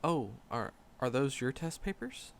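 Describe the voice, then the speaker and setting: A man's voice: mid-range voice, sing-song, a little slow, a little hiss or hum. A man playing a shy, nervous magic professor in a roleplay audio drama, skittish and earnest, stammering and repeating words as he talks to the listener. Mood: shy, pleased.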